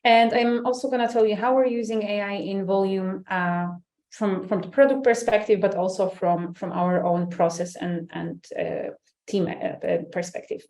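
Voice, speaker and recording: A woman's voice: mid-range voice, steady tone, normal speed, darkish tone. Woman hosting a webinar, medium voice, chatty lots of ums. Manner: upbeat, chatty, many fillers and restarts. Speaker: webinar host, head of marketing. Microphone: video call.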